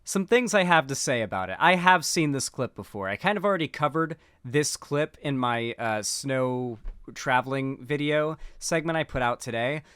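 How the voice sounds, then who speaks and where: A man's voice: medium pitch, expressive, normal speed, a bit bright, smooth, dry recording. A young man in casual streamed political commentary, rambling and sarcastic, full of fillers and restarts.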